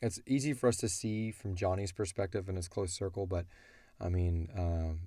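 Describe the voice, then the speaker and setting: A man's voice: low voice, steady tone, normal speed, mellow, dry recording, clean studio sound. A man answering listener questions as an audio drama creator, talking casually and bluntly as he thinks aloud.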